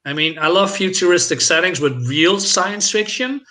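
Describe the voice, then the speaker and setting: A man's voice: high-pitched, moderate pace, sharp tone. A man chatting casually as a podcast co-host, enthusiastic and swearing freely. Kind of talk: casual chat.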